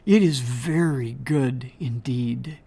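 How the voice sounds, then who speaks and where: A woman voicing a great, wise silver wolf who speaks gently, slowly and deliberately. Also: rumbling low, vocal fry, slightly rough, voice pushed down, full character voice. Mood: gentle. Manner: gentle, slow and deliberate, hushed.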